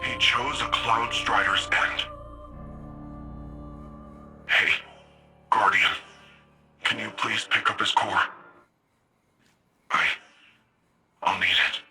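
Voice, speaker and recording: A man's voice: lowish voice, steady tone, slow pace, long pauses, pushed and bright, faint music behind, exaggerated voice. A man playing a youthful, earnest cyber-enhanced hero in a video game, chatty and informal. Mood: grieving. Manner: informal, breezy. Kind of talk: conversational video game acting.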